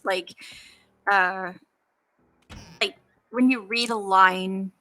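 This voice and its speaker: A woman's voice: mid-range voice, sharp tone, poor audio. A woman being interviewed, chatting informally with lots of slang and casual swearing. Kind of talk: casual chat.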